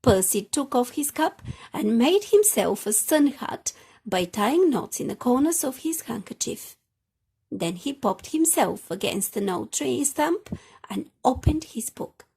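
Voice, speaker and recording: A woman narrates a children's story at an unhurried pace. Her voice is fairly high with a husky, breathy texture, and her reading is expressive.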